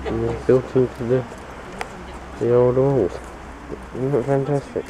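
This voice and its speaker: A man filming a walking tour outdoors, pointing out sights in a slow, halting way on the camera mic. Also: medium pitch, little pitch movement, a crowd in the background, rough recording. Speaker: tour guide filming a town walk. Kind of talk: casual walking-tour commentary. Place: outdoors on old town streets. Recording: loud street traffic noise.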